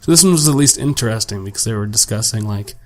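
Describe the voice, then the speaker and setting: A young man, slightly raspy from a long day of talking, hosting a solo podcast at home and rambling casually and profanely about his week, with plenty of self-deprecation. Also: fairly low pitch, lively intonation, fast talker. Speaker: podcast host. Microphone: home desk microphone without pop filter.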